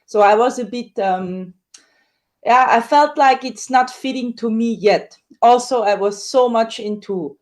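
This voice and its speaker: A woman, a soprano singer, telling her story in a casual interview with lively acted-out quotes. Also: measured pace. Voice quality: high dramatic coloratura soprano voice.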